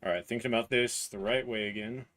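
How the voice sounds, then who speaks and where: A hoarse man in his thirties livestreaming a printer build, talking haltingly as he searches for words and clears his throat. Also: medium pitch, fairly even intonation, a bit bright. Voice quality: voice cutting out, clears throat often. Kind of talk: live build stream, casual talk while working.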